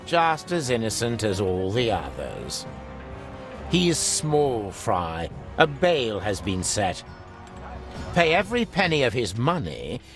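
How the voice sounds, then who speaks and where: An actor playing a pompous, contemptuous prison warden in a video game, with a sneering, florid delivery. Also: male voice, mid-range voice.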